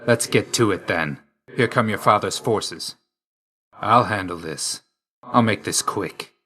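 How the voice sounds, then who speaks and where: An actor playing an aloof, weary young god of death in a video game, speaking in a restrained, clipped and solemn way. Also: male voice, lowish voice, slow, bright, forceful tone, husky, heavily acted. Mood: cool, resolute.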